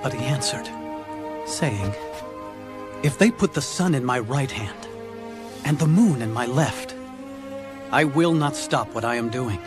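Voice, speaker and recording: A man narrating an animated film in a solemn, reverent, scripted style. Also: medium pitch, a little slow, long pauses, over music, close to an everyday voice.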